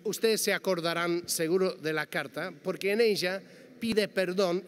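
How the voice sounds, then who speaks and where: A man giving a prepared, measured talk as a panel speaker, reading carefully and quoting passages, speaking Spanish as a to a conference audience. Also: high-pitched. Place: large conference hall. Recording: long hall reverb, faint background noise.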